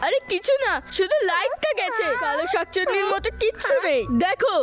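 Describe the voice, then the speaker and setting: A woman's voice: very high-pitched, lively intonation, brisk, over music. A woman voicing a brave, reassuring young boy prince in a children's cartoon. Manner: protective, bold, storytelling. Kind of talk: dubbed children's cartoon acting.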